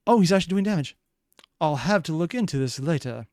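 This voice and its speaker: A man's voice: on the high side, expressive, fast talker, darkish tone, dry recording, clean studio sound. A man hosting a reaction video, commenting casually in a rambling, analytical way.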